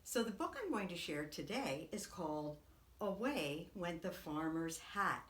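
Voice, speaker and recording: A woman, a preschool teacher, reading a picture book aloud to young children in an expressive, dramatic way. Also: fairly low pitch, unhurried. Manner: expressive, dramatic whispers and swoops. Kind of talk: animated read-aloud storytelling for young children. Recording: echoey room.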